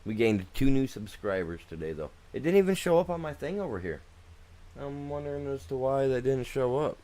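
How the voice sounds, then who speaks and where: A man's voice: medium pitch, very animated, a little fast, dark tone. A young man streaming a farming game, chatting casually with his viewers and rambling as he plays, often trailing off and starting sentences over. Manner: laid-back, rambling, talks to chat, trails off and restarts. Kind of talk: casual live gameplay chat.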